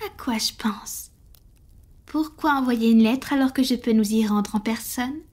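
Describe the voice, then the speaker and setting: Casting: actress as devoted, gentle vampire lover for roleplay audio; very breathy, often whispered, slow and intimate delivery. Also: female voice, on the high side. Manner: slow, gentle, affectionate, with pauses. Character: tender, devoted female vampire in love with a mortal. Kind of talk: French roleplay audio, soft intimate ASMR acting.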